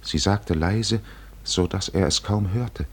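A man narrating a literary 1950s radio drama in a solemn, measured manner with deliberate pauses. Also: deep voice, husky.

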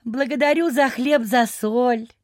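A busybody country gentlewoman played by a woman in a radio drama. Her voice is high and unhurried, effusive and self-satisfied, in a dry studio recording. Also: no room sound, natural voice. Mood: effusive farewell. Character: gossipy, self-satisfied provincial landowner lady. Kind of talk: radio play acting.

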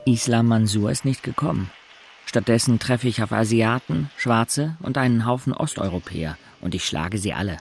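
Brief: young first-person narrator, heavy vocal fry, lowish pitch, introspective and matter-of-fact, scripted audio drama. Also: male voice.

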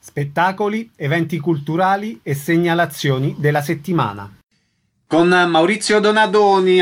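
A man's voice: fairly high pitch, a bit bright. A man presenting listings and putting questions as a radio interviewer.

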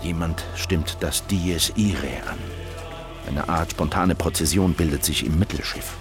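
Grim revolutionary, radio drama, very low voice, terse, grave, intense, loud music behind. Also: male voice, measured pace. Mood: grave, tense. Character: grim, determined revolutionary telling his own story. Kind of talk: radio drama narration and dialogue.

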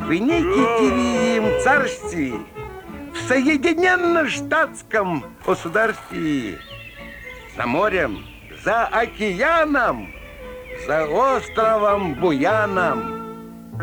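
A man playing a theatrical fairground peepshow barker, telling rhymed folk tales in a playful, drawn-out sing-song declamation. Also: high voice, full character voice. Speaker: narrator, fairground showman. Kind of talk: rhymed Russian folk-tale showman patter.

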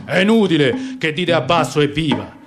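A man's voice: expressive, moderate pace, natural voice. A man voicing a sly lion making a rousing speech, with a Roman accent. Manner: low, speechifying. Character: sly male lion, rabble-rousing orator. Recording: guitar music behind.

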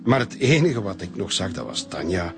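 Hesitant, low-pitched Flemish man under questioning. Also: very animated. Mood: flustered, recounting. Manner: hesitant, halting. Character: evasive, flustered male boss being questioned. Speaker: actor in radio drama. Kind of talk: radio crime drama acting.

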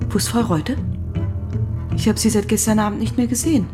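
An actress playing a morbid, mocking lady in a radio play, with a drawn-out, theatrical delivery. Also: mid-range voice, natural voice. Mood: mocking, agitated. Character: morbid, theatrical lady resident of a suicide hospice. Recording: music under the voice.

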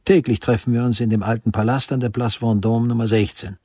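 An actor playing an earnest, self-assured eighteenth-century physician in a radio drama, speaking in a measured, formal way. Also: male voice, mid-range voice, fairly even intonation, dark tone, no echo, studio quality, natural voice. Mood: proud. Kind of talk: German radio drama acting.

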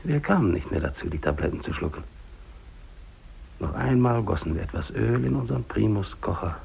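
Doomed polar explorer, 1950s radio drama, gravelly, widely swinging pitch, theatrical, defiant, despairing. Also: male voice, animated, brisk, a little mellow, full character voice. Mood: exhausted, resigned. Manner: theatrical, swinging between muttering and declaiming. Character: dying English polar explorer, alone, feverishly defiant and despairing.

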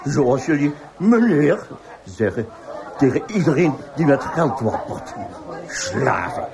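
A man's voice: mid-range voice, sing-song, creaky, crowd noise, exaggerated voice. An actor playing a boastful, reckless man living it up, jovial and swaggering, in a radio play. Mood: boisterous swagger. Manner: jovial, swaggering, drawn-out delivery. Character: boastful, reckless male big spender living it up. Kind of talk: radio drama acting.

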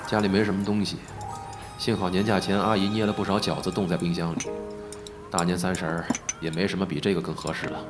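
A man's voice: low voice, little pitch movement, quick pace, natural voice. A man playing a teasing, secretly caring big-brother entertainer in a Chinese audio drama, languid and grumbling yet indulgent. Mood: gently caring. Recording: music behind the voice.

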